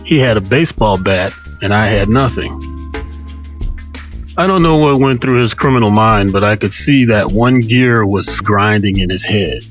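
Low-voiced man storytelling over music. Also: moderate pace. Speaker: radio storyteller. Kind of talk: first-person storytelling narration. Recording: music under the voice. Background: music bed.

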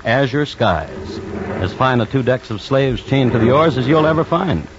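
A man playing a wry, droll narrator of historical crime tales in old-time radio style, deadpan and measured. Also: mid-range voice, background noise, lightly acted. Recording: dull old radio recording, music underneath.

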